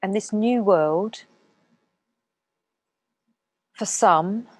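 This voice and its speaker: Female meditation guide, calm and unhurried, very slow delivery with long pauses, close dry sound. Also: mid-range voice, no echo. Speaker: meditation teacher.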